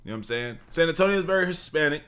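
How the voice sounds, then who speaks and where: A man's voice: on the high side, expressive, rapid-fire, a bit bright, clean tone. A man from Texas reacting to a video in a laid-back, slangy, rambling way, with many restarts. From Texas (Dallas). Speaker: reaction streamer. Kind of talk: casual reaction commentary.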